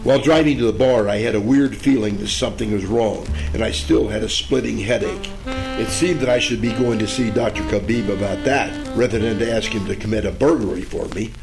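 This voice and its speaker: A man playing a world-weary, wisecracking private detective in a deadpan old-time radio noir comedy. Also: on the low side, brisk, vocal fry, music in the background, rough recording. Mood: wry narrating. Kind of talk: first-person noir radio narration and comedy acting.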